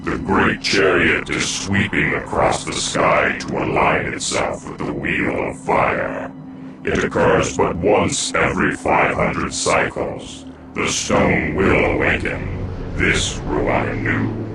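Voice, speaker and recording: An actor playing an ancient, menacing dark overlord in a fantasy game, gravelly and imperious, speaking in formal diction. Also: male voice, steady tone, takes it slowly, exaggerated voice. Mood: scornful, grave. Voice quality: very deep, gravelly. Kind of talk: theatrical fantasy game cutscene acting. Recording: noisy game audio with heavy echo.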